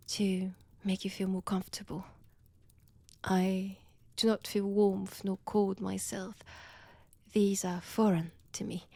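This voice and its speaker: A seductive female vampire with a French accent, acted by a woman, purring threats to her prey in an intimate audio drama. The voice is breathy and often sinks toward a whisper. Delivery is very unhurried, with teasing, menacing pauses, and the sound is close and dry. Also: medium pitch, dark tone, dry recording. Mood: mock-polite, teasing. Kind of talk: intimate audio roleplay, addressed to the listener.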